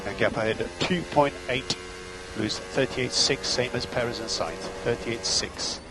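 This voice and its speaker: A man's voice: mid-range voice, unhurried, loud music behind the voice, rough recording. A man working as a race engineer, giving terse, clipped instructions and data over motor racing team radio. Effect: team radio transmission.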